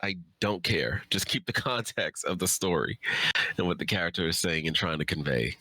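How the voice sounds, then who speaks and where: Man, thirties, deep pitch, close dry sound, casual livestream chat, informal author. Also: moderate pace, pushed and bright, no room sound. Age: 30s.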